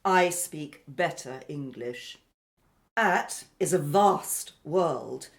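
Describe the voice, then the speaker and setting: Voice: medium pitch, lively intonation, drawn-out words, vocal fry, heavily acted. An actor playing a precise, haughty, educated foreign exile in a radio play, with formal, pedantic phrasing. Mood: explanatory.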